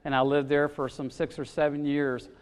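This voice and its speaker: A man preaching a sermon in a loud, expressive way, often pausing with fillers. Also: a little high, clear, resonant tone. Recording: long hall reverb.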